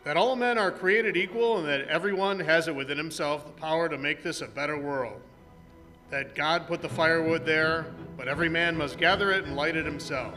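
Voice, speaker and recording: A man's voice: fairly high pitch, fairly quick, bright, forceful tone, faint music behind. A man playing an upright, earnest cowboy hero with a declamatory manner in an amateur radio play. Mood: solemn, earnest.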